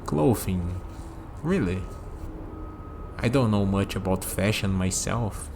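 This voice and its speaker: A man playing a curious, skeptical young human in an ASMR vampire roleplay, talking hesitantly to the listener and pausing for replies, with steady noise behind him. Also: low-pitched, creaky, exaggerated voice. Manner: hesitant, conversational, leaves pauses for unheard replies.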